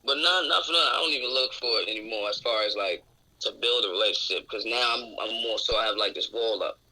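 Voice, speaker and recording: A young man in his twenties talks with a raspy, intensely bright and forceful voice. He is outspoken and candid as he answers questions in a radio interview. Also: lowish voice, quick pace, poor audio. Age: 20s. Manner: outspoken, open and reflective. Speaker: interview guest. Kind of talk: candid casual conversation. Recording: radio show recording.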